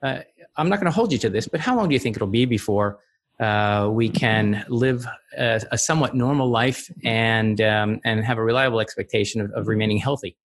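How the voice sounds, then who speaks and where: A man's voice: lowish voice, fairly quick. A man hosting a podcast interview, asking questions conversationally in a hesitant, roundabout way.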